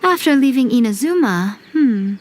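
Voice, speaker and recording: A woman's voice: a little high, animated, moderate pace, a little mellow, soft background music, close to an everyday voice. A woman playing an elegant, sly shrine priestess in an anime video game, with a poised, playfully haughty manner. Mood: composed.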